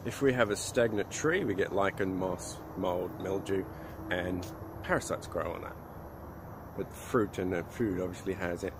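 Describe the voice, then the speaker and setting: Low-voiced opinionated man, noisy outdoor recording. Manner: opinionated, scornful, rhetorical questions. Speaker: vlogger on alternative health. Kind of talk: rambling informal monologue to camera. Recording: loud outdoor urban traffic noise.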